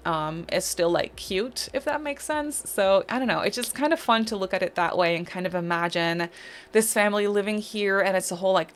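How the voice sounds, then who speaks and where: A young Dutch woman with a mid-pitched voice talks in a loose, rambling way, full of "like" and "um". It's a relaxed voice-over for a game build video, friendly and unscripted. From Netherlands. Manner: chatty, rambling, many "like" and "um" fillers. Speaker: Sims builder and YouTuber. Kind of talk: casual voice-over commentary on a speed build.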